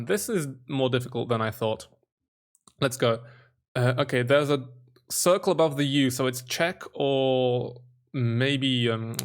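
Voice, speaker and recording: A young man hosting his own channel, thinking aloud as he works through a language quiz and spelling out letters while he guesses. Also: mid-range voice.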